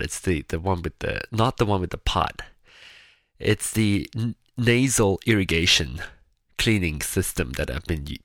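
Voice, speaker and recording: Stuffy-nosed guy with a deep rough voice, rambling chatty vlog, dry close sound. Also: fairly bright tone, no echo. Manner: rambling, chatty, with frequent restarts. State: nasal and congested; a little raspy and gravelly. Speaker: vlogger. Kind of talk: casual personal monologue to camera.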